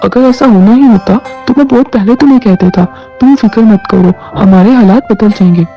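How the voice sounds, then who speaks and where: A man playing a poor, humble village farmer, earnest and hopeful, in a Hindi animated story. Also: high-pitched, fairly quick. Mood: reassuring. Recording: dull lo-fi audio with music behind. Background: background music.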